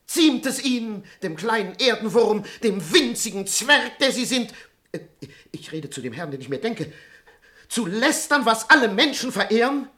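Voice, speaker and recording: An actor playing a timid, moralizing servant in a classical radio comedy, deferential, fussy and theatrical. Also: male voice, high voice, sing-song, moderate pace, sharp tone, heavily acted. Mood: indignant preaching. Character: timid, moralizing, long-suffering male servant.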